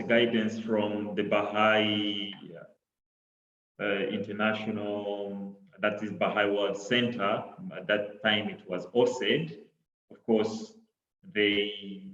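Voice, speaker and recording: A middle-aged man from Congo with a Congolese accent, his first languages Kiswahili and French, giving an online talk in a halting way full of repeats and "you know". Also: on the low side. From Democratic Republic of Congo. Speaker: presenter. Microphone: laptop on a video call. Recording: echoey, dull laptop audio.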